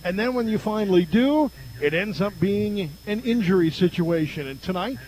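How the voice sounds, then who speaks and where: A man's voice: sits high, expressive, moderate pace, darkish tone, poor audio. A man doing conversational pregame football commentary on the radio, emphatically reeling off stats as the play-by-play announcer. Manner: emphatic, reels off statistics.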